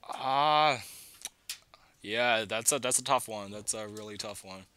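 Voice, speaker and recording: A teenage student from China, a young man chatting casually as a podcast guest, haltingly and repeating words as he goes. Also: on the low side, pushed and bright, clear, resonant tone. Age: teens, a student. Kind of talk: casual chat.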